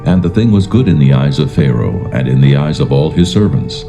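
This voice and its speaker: Very deep, gravelly male scripture narrator. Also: animated, over music. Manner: solemn, measured. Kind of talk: dramatised scripture narration in King James English.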